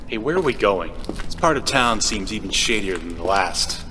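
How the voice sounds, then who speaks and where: A man's voice: fairly low pitch, expressive, moderate pace, pushed and bright, roomy sound, long reverb tail, slight background noise. An actor playing a sarcastic, exasperated insomniac everyman in an audio drama, wry and self-deprecating. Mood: uneasy. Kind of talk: audio drama acting and monologue.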